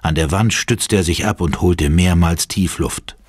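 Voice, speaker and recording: A man's voice: low voice, unhurried, breathy edge, dry recording. A man narrating a horror audio drama in a dramatic, scripted style.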